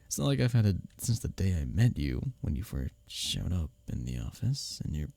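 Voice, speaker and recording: A man's voice: sits low, vocal fry, dry recording, exaggerated voice. A man playing a teasing, earnest male office rival who is secretly smitten, in an intimate ASMR roleplay audio drama. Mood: flustered, confessing. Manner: expressive, halting confessions with soft chuckles and sighs.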